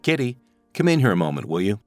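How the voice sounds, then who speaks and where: A man's voice: on the low side, sing-song, fast talker, darkish tone, faint music behind. A man playing an affectionate, courteous suburban father in an audio drama, with a mild manner. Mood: concerned, fond.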